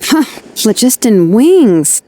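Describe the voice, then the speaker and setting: A woman's voice: fairly high pitch, very animated, takes it slowly, warm tone. A woman voicing a laid-back, drowsy young craftswoman with a wry, teasing manner in an anime video game. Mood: relaxed, musing.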